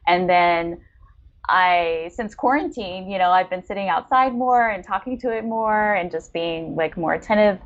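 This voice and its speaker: A woman's voice: fairly low pitch, normal speed. Chatty woman podcast host, slightly dull audio. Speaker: podcast co-host interviewing a writer. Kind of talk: casual chat.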